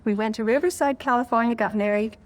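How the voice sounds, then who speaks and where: A woman's voice: fairly high pitch, quick pace. An older American woman casually sharing memories in an interview. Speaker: interviewee recalling her marriage.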